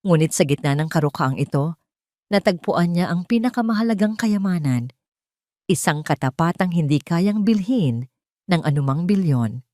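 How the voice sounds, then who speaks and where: Female Filipino narrator, lowish pitch, solemn measured storytelling, very dry studio-style sound. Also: animated, mellow, no room sound. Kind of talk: dramatic scripted story narration.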